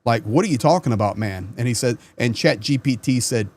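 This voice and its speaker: A man talks straight to his viewers as the host of his own commentary channel. He is earnest and conversational, and sometimes repeats a word as he starts a sentence. His voice sits at an ordinary middle pitch. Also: expressive, brisk, a little mellow. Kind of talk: casual news commentary to camera.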